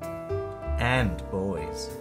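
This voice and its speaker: A storyteller reading a picture book aloud to young children with playful, deliberate, drawn-out phrasing. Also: low-pitched, lively intonation. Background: music and sound effects.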